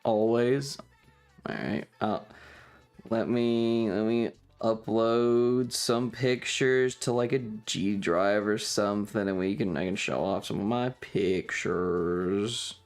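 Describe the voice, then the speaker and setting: A man chats casually to his livestream audience at an unhurried pace. His mid-pitched voice often drops into a creaky fry. He rambles playfully, joking with mock indignation, while faint music plays behind him. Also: fairly even intonation. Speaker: live streamer and host. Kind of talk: casual livestream chat with viewers.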